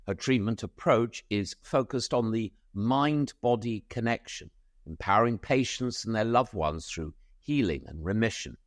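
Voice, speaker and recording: A man's voice: lowish voice, animated, unhurried, no echo, clean studio sound. A man hosting a medical podcast, reading a formal guest introduction and then chatting with many small hesitations. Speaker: podcast host.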